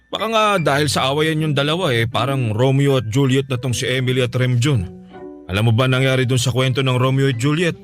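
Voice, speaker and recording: A man's voice: medium pitch, fairly quick, faint music behind, close to an everyday voice. An actor playing a sick but good-humored father who teases his daughter, in a radio drama. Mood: teasing. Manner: easygoing, teasing, calm. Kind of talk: Filipino radio drama acting.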